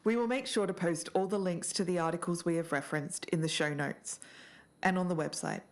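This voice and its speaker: Low-voiced woman podcast host. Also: brisk. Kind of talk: podcast narration of research, reading quotes.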